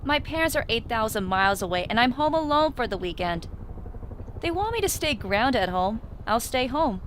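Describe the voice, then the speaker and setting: A woman's voice: a little high, very animated, normal speed, a little hiss or hum. A woman acting a sociable, teasing teenage girl in an audio drama, with a breezy, coaxing manner. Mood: cheerful.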